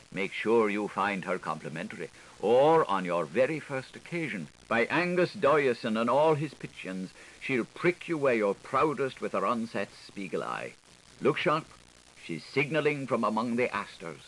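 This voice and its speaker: A man's voice: mid-range voice, very animated, unhurried, rough recording. Theatrical male audiobook reader, swooping intonation. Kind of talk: theatrical literary narration of experimental prose. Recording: hissy older studio recording.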